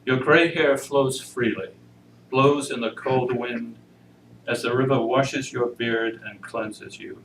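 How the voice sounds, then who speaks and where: A man introducing and reading poetry at a church service, measured and solemn. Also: medium pitch, steady tone, fairly bright tone. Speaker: congregation member reading inmates' poetry. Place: church service, indoor room. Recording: roomy, noisy pickup far from mic.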